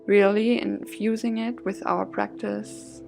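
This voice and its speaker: A woman leading a guided yoga and gratitude class as instructor, soothing and measured in her phrasing. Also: mid-range voice, little pitch movement, gravelly, soft background music. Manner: soothing, gently measured phrasing with breath cues.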